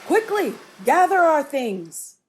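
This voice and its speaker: A woman's voice: sits high, sing-song, takes it slowly, slight background noise, pitched above the performer's own voice, exaggerated voice. Woman as a commanding king, raised voice. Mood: urgent command. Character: commanding male king of seafarers in storybook.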